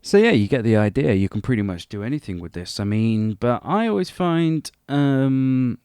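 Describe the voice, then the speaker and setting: A man's voice: medium pitch, lively intonation, normal speed, mellow, clean tone, no room sound. A man presenting a casual, unscripted music production tutorial, rambling hesitantly and a little self-deprecating.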